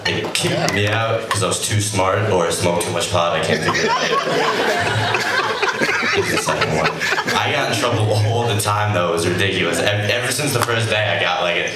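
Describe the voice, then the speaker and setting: A young man with a very low voice, doing a rambling, crude, self-mocking stand-up comedy set in a comedy club. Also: a little fast. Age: young adult. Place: comedy club stage with audience. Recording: echoey, distant, noisy club recording. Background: audience laughter.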